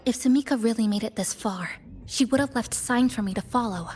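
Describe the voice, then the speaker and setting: A woman's voice: a little high, a little fast, darkish tone, a little hiss or hum, close to an everyday voice. A woman voicing a focused, cautious young female expedition guide in a video game, earnest and purposeful. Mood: alert.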